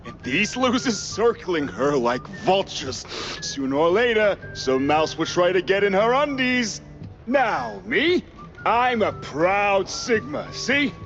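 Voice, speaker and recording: A man's voice: high voice, big pitch swings, takes it slowly, a bit bright, heavily acted. A man voicing a sleazy, boastful, jealous mouse husband in a cartoon game, smug and wheedling. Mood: smug, scheming. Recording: muffled, faint guitar music behind.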